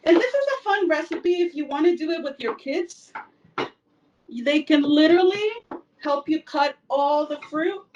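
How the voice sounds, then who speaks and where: A woman's voice: high voice, moderate pace, darkish tone, distant mic, highs cut off, a little hiss or hum. A woman from El Salvador giving a casual cooking demonstration in her home kitchen, chatty with frequent fillers. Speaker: cooking instructor.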